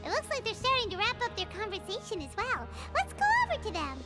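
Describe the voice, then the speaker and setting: Tiny cheeky game fairy: extremely high, childlike squeak, very animated delivery, loud music behind. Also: female voice, fast talker. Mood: chirpy. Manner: speaks of self in third person. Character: small cheeky floating fairy companion, childlike female. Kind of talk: cartoonish video game voice acting. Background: prominent music.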